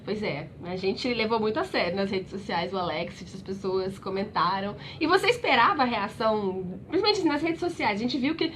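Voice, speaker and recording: A woman's voice: mid-range voice, animated, a little fast, few pauses, fairly bright tone, a little hiss or hum. A woman with a Brazilian accent running a casual interview, asking friendly questions. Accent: Brazilian Portuguese.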